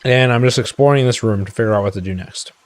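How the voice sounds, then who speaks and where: A man's voice: medium pitch, quick pace. A man co-hosting a stream, giving casual running commentary as he plays a video game. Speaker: co-host playing a video game.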